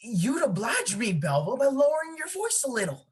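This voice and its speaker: A woman's voice: high voice, very animated, normal speed, slightly rough, full character voice. A woman playing a beleaguered, folksy small-town man in charge of a solemn tradition, in an amateur play acted over video call. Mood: exasperated. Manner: folksy, exasperated, officious. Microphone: video call microphone.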